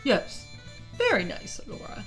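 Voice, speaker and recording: A woman's voice: measured pace, music in the background, natural voice, low-quality recording. A woman playing a courteous, protective adventurer in a fantasy audio story, firm and reassuring. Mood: indulgent.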